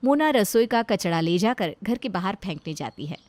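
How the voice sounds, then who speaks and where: A woman's voice: mid-range voice, animated, moderate pace, a little mellow, no echo. A woman reading scripted narration for a children's cartoon story.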